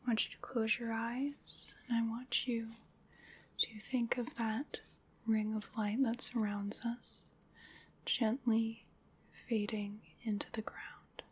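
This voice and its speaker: A woman playing a gentle, solemn witch in an ASMR roleplay, whispering in a breathy, soothing, ceremonial way. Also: on the high side, flat delivery, slow. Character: gentle, solemn witch leading a Samhain ritual.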